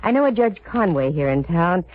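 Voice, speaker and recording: An actress playing a wry, poised, quick-witted lady private eye in 1940s radio detective style. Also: lowish voice, big pitch swings. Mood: calm concern. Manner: wisecracking, poised. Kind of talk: 1940s radio detective drama acting, with narration. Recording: tinny, noisy old radio recording.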